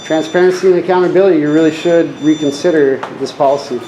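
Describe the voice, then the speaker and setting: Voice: mid-range voice, steady tone, fast talker, dark tone. Insistent objector in an echoey, noisy space. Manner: insistent, repeating objections, indignant. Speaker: member of the public challenging officials. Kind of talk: confrontational argument at a meeting entrance. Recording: echoey, clearly noisy on-location recording.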